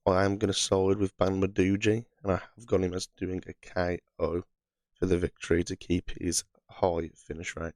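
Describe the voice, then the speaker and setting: Hoarse, croaky low-voiced man. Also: a little mellow, no room sound. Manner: chatty, frequent "right" fillers. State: hoarse, under the weather. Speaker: MMA channel host. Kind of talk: casual fight predictions monologue.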